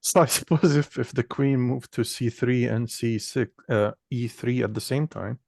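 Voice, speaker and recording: A man's voice: medium pitch, moderate pace, gravelly, darkish tone, no room sound, clean studio sound. A man thinking aloud casually as a learner, hesitant and full of questions about the rules.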